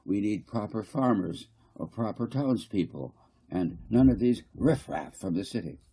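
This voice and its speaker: A man's voice: on the low side, expressive, a little slow, warm tone, husky, heavily acted. A man reading a story, voicing a blunt, scornful small-town councillor who is dismissive and plain-spoken.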